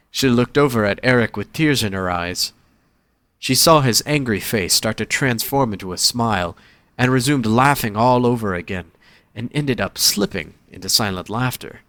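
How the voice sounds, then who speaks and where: A man's voice: mid-range voice, sharp tone, no echo. A man reading scripted story narration as the narrator of an audio drama.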